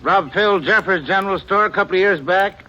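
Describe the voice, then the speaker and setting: An American actor playing an excitable rustic posse rider in a 1950s radio western, with an emphatic, folksy twang. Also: male voice, high voice, brisk. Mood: excited. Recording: muffled 1950s radio recording, faint noise.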